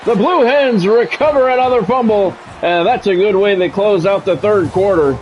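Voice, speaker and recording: Higher-pitched American man calling football play-by-play. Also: moderate pace, a little hiss or hum. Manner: short clipped announcer phrases. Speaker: sports play-by-play commentator. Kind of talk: live play-by-play commentary of a football video game. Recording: dull lo-fi sound, music behind.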